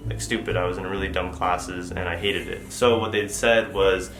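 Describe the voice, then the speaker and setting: A man's voice: deep voice, fairly even intonation, normal speed, a bit bright. A young man whose first language is Tagalog, with a slight Filipino accent, chatting casually to camera as a vlogger with lots of fillers and restarts. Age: young adult. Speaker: vlogger answering viewer assumptions. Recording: echoey room with music underneath.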